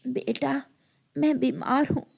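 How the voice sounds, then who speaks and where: A woman voicing a frail, sick elderly mother bird in a children's cartoon story, soft and weak. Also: sits high, expressive, slow, vocal fry, full character voice. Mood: meek.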